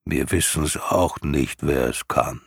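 A man voicing an ancient, huge, weary female turtle in an audiobook, with a gurgling, breathy, wheezing voice and a listless, drawn-out, dismissive delivery. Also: a bass voice, dry recording, studio quality, full character voice. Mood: irritated, weary.

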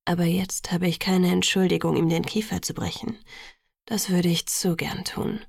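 A woman voicing a gentle, patient young man in audiobook dialogue, murmuring softly and soothingly. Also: lowish voice, flat delivery, moderate pace, creaky, slightly rough, no echo, clean studio sound. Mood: gentle, wry. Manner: hushed, murmured, softly spoken.

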